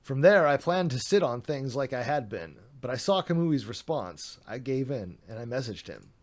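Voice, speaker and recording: A man's voice: medium pitch, expressive, moderate pace. Sarcastic man reading aloud on stream. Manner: sarcastic, mocking asides. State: voice getting a little worn. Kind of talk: reading a document aloud with commentary.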